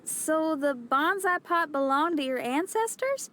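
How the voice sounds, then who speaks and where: A woman's voice: sits high, lively intonation, measured pace, fairly bright tone, slight background noise. A woman playing a curious, kindly girl companion in an anime video game, friendly and inquisitive.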